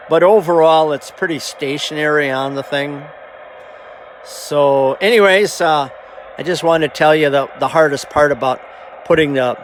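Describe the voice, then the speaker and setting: A man giving a casual, halting, rambling hands-on demonstration as a hobbyist presenter. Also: a little high. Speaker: hobbyist presenter showing his CB radio. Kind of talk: casual hands-on show-and-tell. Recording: noisy amateur camera audio. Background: clear background noise.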